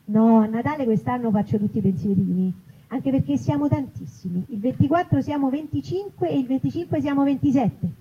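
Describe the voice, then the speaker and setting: A woman's voice: high voice, animated, fairly quick, mellow. A woman voicing a chatty old woman who explains herself insistently, in a live comic reading. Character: chatty old woman fussing over holiday guest numbers. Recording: dull, noisy live event recording.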